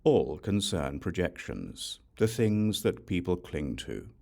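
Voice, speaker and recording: A man narrating a Buddhist scripture as an audiobook, solemn, measured and formal in his delivery. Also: sits low, sing-song, vocal fry, dark tone.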